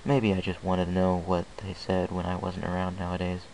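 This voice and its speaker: A man's voice: sits low, completely flat delivery, brisk, darkish tone, a little hiss or hum, low-quality recording. A man reading a first-person story aloud as an amateur audiobook narrator. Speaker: fan fiction reader.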